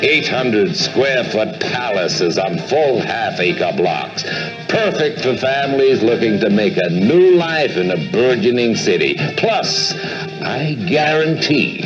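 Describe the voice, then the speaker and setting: An actor playing a middle-aged American property developer delivering a grandiose, persuasive 1940s radio advertisement. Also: male voice, mid-range voice, very animated, takes it slowly. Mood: upbeat, stately. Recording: dull old radio, music behind.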